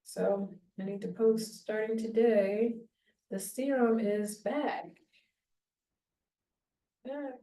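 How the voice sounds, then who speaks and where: A woman in her thirties chatting casually while doing her hair, meandering and self-deprecating, thinking out loud as she goes. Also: on the high side, steady tone, takes it slowly, pauses often. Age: 30s. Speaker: small-business vlogger. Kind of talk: rambling casual chat. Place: home bathroom. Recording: echoey bathroom, voice far from mic.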